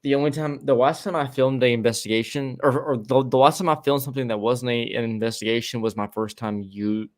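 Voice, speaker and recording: A male podcast co-host chatting over a remote call. His pitch is mid-range for a man. He talks in loose, rambling bursts and swears offhandedly. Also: fairly quick, hardly any pauses. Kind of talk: casual chat.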